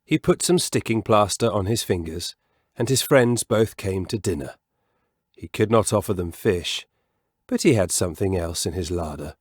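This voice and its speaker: A man telling a children's story as a scripted, expressive reading, measured and savouring the descriptive words. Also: sits low, slightly rough, dry recording, studio quality.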